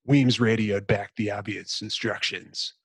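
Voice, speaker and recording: A man's voice: fairly low pitch, fairly even intonation, unhurried, husky, no room sound. A man hosting a podcast, speaking in a casual but presentational way into a close microphone. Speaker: podcast host. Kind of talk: casual chat with dramatic book reading.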